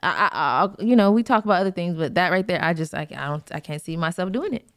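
A woman in her thirties with a squeaky voice, co-hosting a podcast in casual chat and laughing off teasing with many fillers. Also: lively intonation, fast. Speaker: podcast co-host.

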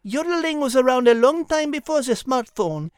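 A woman's voice: sits high, animated, normal speed, darkish tone, smooth, no echo, studio quality. A woman voicing a refined, learned female cat with a French accent and a prim, precise manner in a children's audio drama. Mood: prim, patient. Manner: prim, precise, patient, schoolteacherly.

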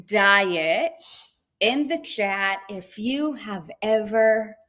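A woman's voice: mid-range voice, expressive, very slow, a bit bright. A woman from Israel coaching on nutrition in a casual livestream, chatty, with lots of fillers and restarts.

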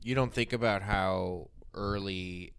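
A person with a deep voice hosting a casual podcast, talking informally and searching for words aloud. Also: takes it slowly, fairly bright tone, clean tone, no echo. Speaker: podcast host.

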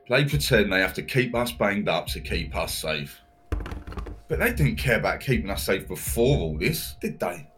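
A man's voice: medium pitch, lightly acted. An actor playing a bitter, foul-mouthed male prisoner, ranting about life on the wing. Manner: angry, sweary, full of tag questions. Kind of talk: audio drama monologue.

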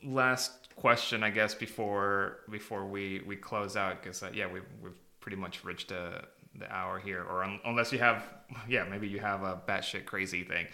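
A man's voice: sits low, fairly even intonation, moderate pace, gravelly, sharp tone. A man hosting a podcast, chatting in an informal, bantering way. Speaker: podcast host. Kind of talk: casual podcast chat.

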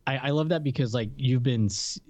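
A man's voice: mid-range voice, brisk, darkish tone, no room sound. A man co-hosting a podcast, chatting informally in loose, slangy language. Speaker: podcast co-host. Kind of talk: casual, informal chat.

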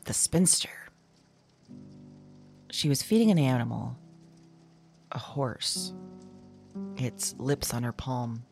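A woman playing an uneasy, self-conscious dreamer in an audio drama, speaking haltingly into a voice memo and trailing off. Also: very deep voice, expressive, vocal fry, a little mellow, soft background music, heavily acted. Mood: uneasy, musing.

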